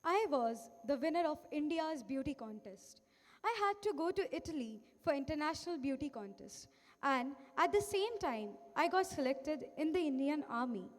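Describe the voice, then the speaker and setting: A woman's voice: high-pitched, exaggerated voice. A female performer playing a proud, patriotic young army officer in a declaimed stage monologue, recorded in a reverberant hall.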